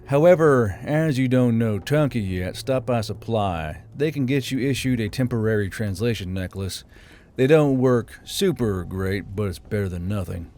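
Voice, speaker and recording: A man as a gruff, low-key officer. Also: animated, moderate pace, darkish tone. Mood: helpful. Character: gruff bearded male military officer, dry but kindly. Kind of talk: audiobook character dialogue. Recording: music behind the voice.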